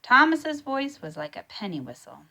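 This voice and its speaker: A woman reading a children's storybook aloud in a deliberate, expressive way. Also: high voice, gravelly. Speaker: storybook reader.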